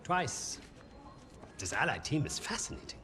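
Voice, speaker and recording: A man's voice: on the high side, sing-song, a little slow, background noise. An actor playing an eager, menacing Nazi officer who serves his superior in a war game. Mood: eager, obedient.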